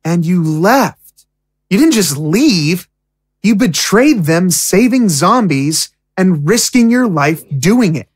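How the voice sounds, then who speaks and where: A woman's voice: on the low side, animated, slow, breathy edge, no room sound, clean studio sound, full character voice. A woman playing an impatient, outspoken young prisoner in an audio drama, with a casual, indignant way of talking. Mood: earnest.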